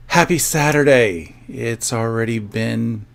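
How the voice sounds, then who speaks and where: A man's voice: mid-range voice, slow, vocal fry. A man hosting a sewing livestream, chatting casually to his viewers in a rambling, self-joking way.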